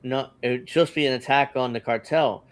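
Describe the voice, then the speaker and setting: A man's voice: mid-range voice, fairly even intonation, fairly quick. A man hosting a casual panel discussion, speaking loosely with fillers and restarts. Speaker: show host.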